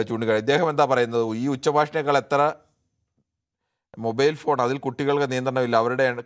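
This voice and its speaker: Fast-talking male talk show host. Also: medium pitch. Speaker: TV phone-in discussion host. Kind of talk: live commentary, reading out and answering viewer comments.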